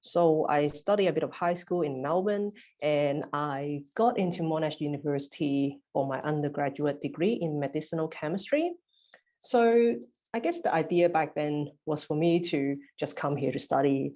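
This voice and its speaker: Low-pitched chatty woman from Hong Kong. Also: normal speed, warm tone. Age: 30s. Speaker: panellist, research scientist. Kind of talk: informal self-introduction. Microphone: video call.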